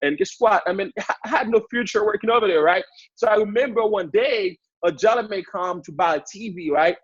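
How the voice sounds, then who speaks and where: A man in his twenties with a Haitian accent, giving an energetic motivational talk as a guest speaker on a sales training call. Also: sits high, brisk, husky, no echo, lo-fi sound. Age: 20s. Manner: hyped up, energetic, repetitive.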